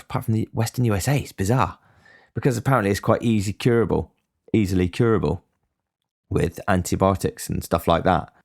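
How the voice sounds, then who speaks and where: Man, very deep voice, blunt, swearing, ranting casual monologue. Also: creaky. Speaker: podcast host.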